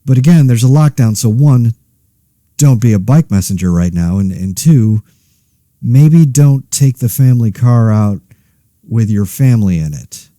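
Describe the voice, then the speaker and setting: A man giving a casual, rambling mock news commentary in a wry, deadpan, self-interrupting way, as the host of a satirical podcast. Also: medium pitch, unhurried, no room sound, clean studio sound.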